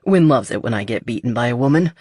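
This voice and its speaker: A narrator voicing an easygoing man with gently teasing, mock-worried banter in a romance audiobook. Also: medium pitch, animated, quick pace, husky, no echo, studio quality.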